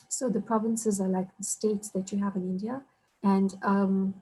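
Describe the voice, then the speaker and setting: A woman's voice: mid-range voice, warm tone, husky, rough recording. A woman from South Africa, an invited guest speaker, giving an online workshop lecture over a video call in a polite, explaining way with frequent ums.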